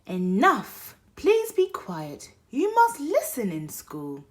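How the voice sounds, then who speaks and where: A woman reading a picture book aloud for toddlers, expressive, moving between hushed whispers and loud exclaims. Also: on the high side, slow. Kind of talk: read-aloud bedtime story for toddlers.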